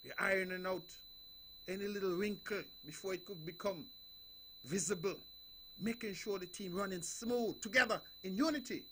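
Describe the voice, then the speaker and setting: A man with a Nevisian accent and a fairly high voice delivers a political address on the radio. He speaks emphatically, repeating himself and building phrases with a preacher's rhythm. A faint hum sits under the recording. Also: moderate pace, fairly bright tone. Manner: emphatic, repetitive, preaching cadence.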